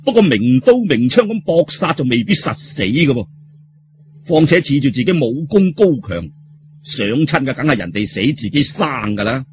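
A man's voice: a little high, slight background noise. A man with a Cantonese accent telling a story in a dramatic, colloquial audiobook style.

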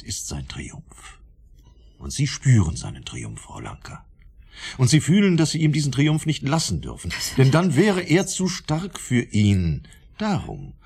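An actor playing a smug, probing psychology professor in a German radio drama, lecturing insistently in a husky, gravelly voice. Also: male voice, medium pitch, darkish tone, exaggerated voice. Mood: insistent, needling. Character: smug, probing male professor of psychology, theorizing about everyone.

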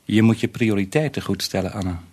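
An actor playing an avuncular, self-satisfied older man in a radio play, musing and patronising, with a husky voice. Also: fairly low pitch, brisk, a little mellow, close to an everyday voice.

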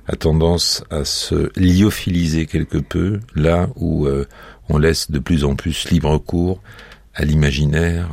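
Very deep-voiced male radio host. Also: vocal fry, a little mellow, slightly rough, dry recording. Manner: playful, digressive, challenges the guest. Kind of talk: conversational radio interview.

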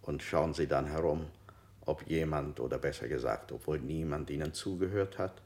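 An actor playing a male interrogator in a radio play, slowly posing falsely sympathetic questions with a gravelly voice. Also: very deep voice, darkish tone. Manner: slow, ingratiating questioning. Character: gently probing male interrogator, insidiously sympathetic.

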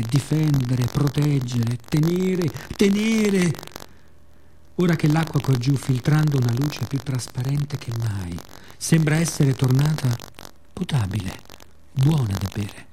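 A man performing a poetic theatrical radio monologue in Italian, with deliberate, dramatic phrasing, pauses and repetitions. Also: mid-range voice, dark tone, a little hiss or hum, poor audio. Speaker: actor performing own monologue.

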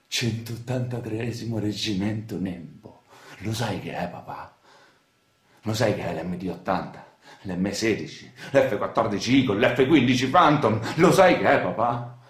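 A man's voice: on the low side, reverberant. An actor playing a bitter, boastful young working-class man from Rome's outskirts, with a Roman accent and a coarse, taunting way of talking, in a naturalistic radio drama. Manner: coarse, taunting slangy talk with repeated phrases.

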